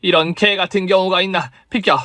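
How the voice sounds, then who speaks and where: A man voicing a coarse, foul-mouthed middle-aged woman gambler who curses and yells in a high, strained voice. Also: normal speed, dry recording, studio quality. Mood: panicked. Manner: shrill, cursing and yelling.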